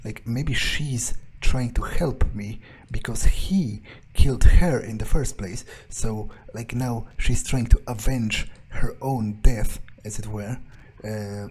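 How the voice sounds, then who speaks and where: A man improvising in-character game commentary as a jaded vampire, thinking aloud in a deadpan, sardonic, musing way. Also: medium pitch, slow pace, hardly any pauses, husky. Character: jaded, unimpressed male vampire muttering his thoughts. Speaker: let's player roleplaying in character.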